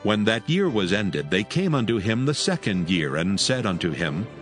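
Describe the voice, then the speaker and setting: A man with a low voice narrates scripture solemnly. His intonation moves expressively across each verse, and music plays softly beneath. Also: very animated, moderate pace.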